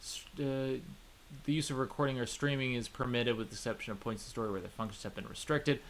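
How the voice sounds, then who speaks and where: A man streaming a visual novel, reading its story aloud and reacting in a chatty, expressive way. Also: mid-range voice, quick pace, a little hiss or hum, low-quality recording. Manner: chatty, expressive, swears casually. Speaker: let's play streamer.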